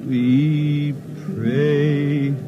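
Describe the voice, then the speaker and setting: A man's voice: fairly high pitch, level tone, very slow. A man singing a folk ballad as a wistful spaceman balladeer in a 1950s radio drama. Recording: dull 1950s radio recording. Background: guitar.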